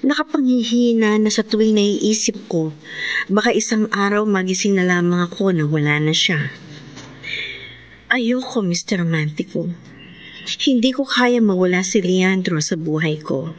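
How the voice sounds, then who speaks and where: An actress playing a devoted, gentle young woman hopelessly in love, in an earnest, plaintive Filipino radio drama style. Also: mid-range voice, unhurried, lightly acted. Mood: anguished pleading.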